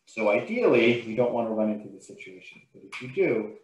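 Low-voiced man teaching in an echoey room. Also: big pitch swings, fairly quick, darkish tone, a little hiss or hum. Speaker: software trainer. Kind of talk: screen-share tutorial, explaining step by step. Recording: roomy, echoing sound.

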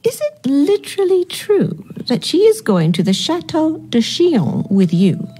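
A woman's voice: sits high, huge pitch swings, a little slow, dark tone, exaggerated voice. A woman playing a haughty, disapproving upper-class aunt in a period radio drama, speaking with formal, dry disdain. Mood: shocked, cold.

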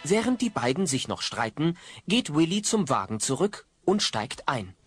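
A man's voice: fairly high pitch, sing-song, normal speed, over loud music. A man narrating an audio play in a wry, suspenseful, dramatic storytelling style.

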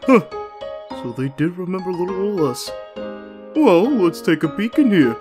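A man voicing a wry, easygoing skeleton big brother in an amateur comic dub, casual and deadpan. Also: high voice, normal speed, music in the background. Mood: wry, relaxed.

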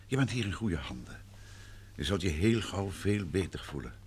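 An actor playing a courteous, composed gentleman detective in an old radio drama, measured in manner, on a noisy old recording. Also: deep voice, expressive. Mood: soothing, probing. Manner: soothing, measured, probing.

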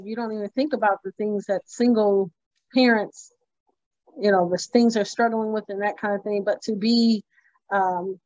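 A woman's voice: mid-range voice, darkish tone, no room sound. A young woman in a church group, thoughtfully adding a scripture reflection on a video call. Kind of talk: casual devotional sharing. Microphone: video call, phone camera. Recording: dry, slightly muffled call audio.

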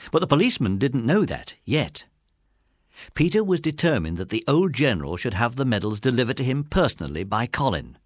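A British man narrates a children's story with very animated, swooping intonation. His voice sits at a middling pitch, recorded close and dry. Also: no echo.

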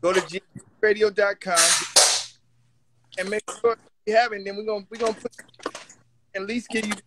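High-voiced man chatting casually. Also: little pitch movement, normal speed, noisy background. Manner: informal, slangy. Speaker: radio show curator. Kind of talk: casual encouraging chat.

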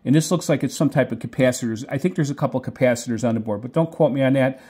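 A middle-aged or older man hosting a hobby video, explaining casually off the cuff in a halting, tentative way with frequent self-corrections. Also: mid-range voice, mellow, slightly rough. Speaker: video host, radio hobbyist. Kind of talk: casual product talk, unscripted explaining.

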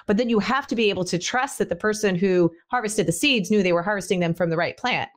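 A woman's voice: medium pitch, fast. A woman in her thirties hosting a podcast over a headset, speaking in a friendly, conversational way while guiding the questions. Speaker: podcast host. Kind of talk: casual interview hosting. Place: indoor home room.

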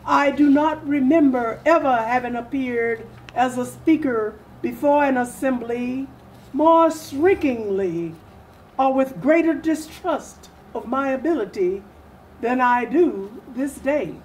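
An older woman delivers historical oratory as a dramatic reading. Her high voice moves very slowly and solemnly, pausing between phrases. There is noticeable room noise behind her. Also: a little mellow, rough recording. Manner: deliberate, solemn, with long pauses. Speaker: readers theatre performer. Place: small furnished indoor room.

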